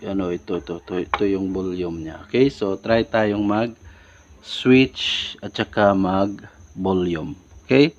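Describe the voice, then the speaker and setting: A man's voice: low-pitched, unhurried, a little hiss or hum. A man giving an informal hands-on tutorial, repeating himself and often addressing his viewers. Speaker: DIY electronics tutorial host. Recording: dull lo-fi recording with loud music. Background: music.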